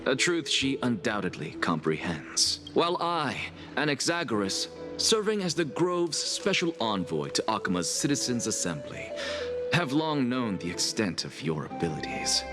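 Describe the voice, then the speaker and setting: An actor voicing a strict, aloof male scholar and teacher who speaks in a cool, measured, disdainful way in a game cutscene. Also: mid-range voice, sing-song, close to an everyday voice. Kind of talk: studio game voice acting. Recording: game cutscene with music behind.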